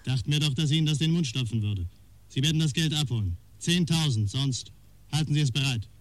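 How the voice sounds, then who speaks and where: An actor playing a menacing blackmailer in a radio crime drama, giving harsh, clipped commands. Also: male voice, mid-range voice, fairly quick, no room sound, natural voice. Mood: threatening. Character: menacing male blackmailer, curt and threatening.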